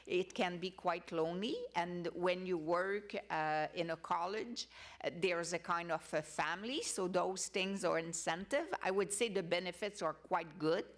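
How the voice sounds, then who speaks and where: A woman's voice: on the low side, unhurried. A woman panelist giving an unscripted answer in a conversational, explanatory way. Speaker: conference panelist.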